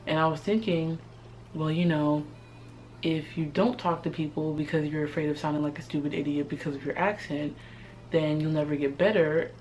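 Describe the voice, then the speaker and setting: Casting note: low-pitched American woman in her twenties, chatty slangy vlog talk, faint hiss behind. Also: a little mellow, rough recording. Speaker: language-learning vlogger. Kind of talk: casual vlog chat.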